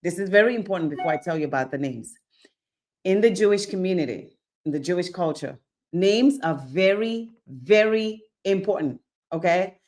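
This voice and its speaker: A woman's voice: lowish voice, moderate pace. A woman from Nigeria giving a sermon-style Bible teaching, asking rhetorical questions, acting out little scenes and checking in with "okay?". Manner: animated, keeps checking in with "okay?".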